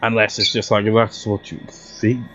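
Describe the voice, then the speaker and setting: A man's voice: fairly low pitch, level tone, normal speed, fairly bright tone, highs cut off, soft background music. A man hosting a video game let's play, chatting in a rambling, self-deprecating way while still getting over a cold. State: recovering from a cold. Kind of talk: rambling casual commentary.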